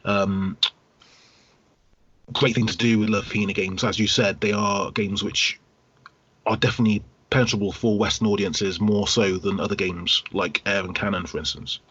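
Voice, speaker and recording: Male podcast co-host, husky low voice, explains hesitantly with repeated phrases, slightly dull lo-fi sound. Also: fairly even intonation, moderate pace, fairly bright tone. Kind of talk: casual podcast discussion of video games. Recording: dull, lo-fi voice recording.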